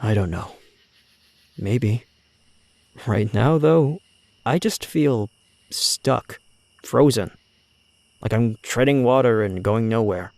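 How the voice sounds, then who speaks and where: A man's voice: medium pitch, slow, pauses often, darkish tone. A man playing a troubled, earnest young mutant turtle brother in an audio comic drama.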